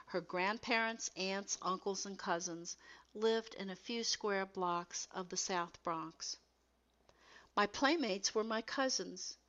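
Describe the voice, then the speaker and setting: A woman reading scripted educational text as a narrator, in a measured, carefully enunciated way. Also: mid-range voice, fairly bright tone, muffled recording.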